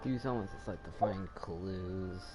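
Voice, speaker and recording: A man's voice: deep voice, unhurried. A man giving casual, offhand gameplay commentary with joking asides as the host of a gaming video. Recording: game music behind the voice.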